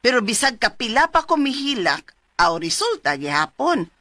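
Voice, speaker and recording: A nagging, exasperated middle-aged mother in a Philippine radio drama. The voice is sharp, dry and close, with a Cebuano accent and dramatic swings in pitch. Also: big pitch swings, normal speed, no echo. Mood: exasperated scolding. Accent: Cebuano Filipino.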